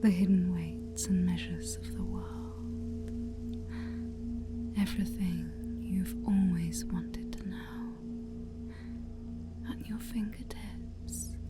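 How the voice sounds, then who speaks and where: A woman playing a shy, scheming demon princess of a dark forest in an ASMR roleplay, soft, breathy and mostly whispered, with coaxing, formal speech and playful asides. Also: medium pitch, steady tone, slow pace, pauses often, loud music behind the voice, lightly acted. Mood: seductive, grand. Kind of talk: ASMR roleplay addressed to the listener.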